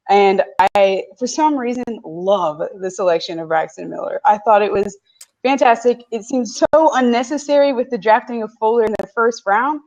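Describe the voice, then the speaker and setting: A woman's voice: medium pitch, lo-fi sound. A young woman from Canada whose first language is French, a guest analyst talking football in a casual interview. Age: young adult. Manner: analytical, lively football talk.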